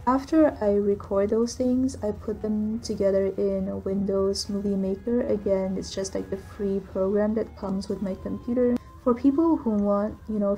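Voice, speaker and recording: A young woman with a soft voice, answering viewer questions in a casual voiceover recorded on a computer's built-in microphone. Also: medium pitch, breathy edge, poor audio. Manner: soft-spoken, relaxed, with frequent ums and likes. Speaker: gaming channel creator.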